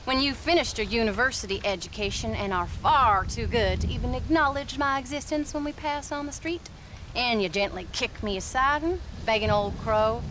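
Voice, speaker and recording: A sharp-tongued frontier mother, played by a woman, with a high voice. She talks with dry sarcasm. Noise is clear behind her. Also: close to an everyday voice. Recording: game cutscene with noise behind.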